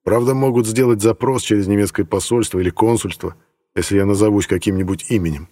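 A man's voice: fairly low pitch, fairly even intonation, fast, warm tone, long reverb tail. A man reading first-person fiction as a scripted audiobook narration.

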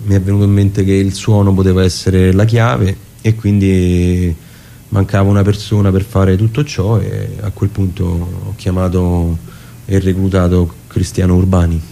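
Low-voiced man, mellow and playful. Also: little pitch movement, moderate pace, creaky, a little hiss or hum. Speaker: theatre director and performer being interviewed. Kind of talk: casual radio interview. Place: radio studio.

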